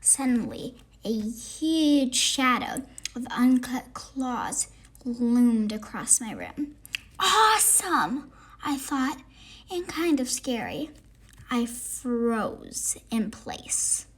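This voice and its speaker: A woman reading a children's story aloud, narrating expressively with dramatic, deliberate phrasing. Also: on the high side, big pitch swings. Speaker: storybook reader.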